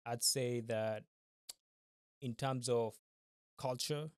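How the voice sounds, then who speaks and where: A man in his twenties, originally from Kenya, a guest answering questions on a podcast in a polite, measured and formal way. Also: medium pitch, steady tone, a little mellow, clean tone. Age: 20s. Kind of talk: casual interview.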